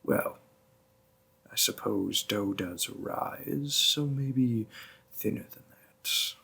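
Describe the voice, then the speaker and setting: A man voicing a gentle, doting demon boyfriend in an intimate roleplay audio, with formal, courtly, coaxing phrasing and a breathy, half-whispered delivery. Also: lowish voice, drawn-out words, full character voice. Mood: encouraging. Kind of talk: roleplay audio, intimate second-person acting.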